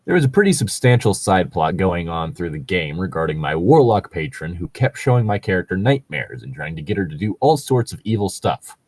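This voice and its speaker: Low husky dude reading gaming posts, wry and sweary, really lively delivery, chatty. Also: male voice, a little fast, hardly any pauses, dry recording. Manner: profane, wry, joking. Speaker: co-host reading online tabletop gaming horror stories. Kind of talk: casual banter mixed with reading posts aloud.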